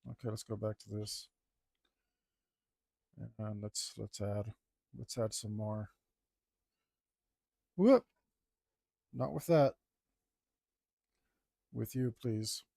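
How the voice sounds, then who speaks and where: A man talking casually to himself as he works on a digital drawing, mumbling in a relaxed way. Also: fairly low pitch, drawn-out words, vocal fry, pauses often, dry recording. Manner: mumbling to himself, laid-back. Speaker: digital artist. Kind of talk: casual talk while drawing.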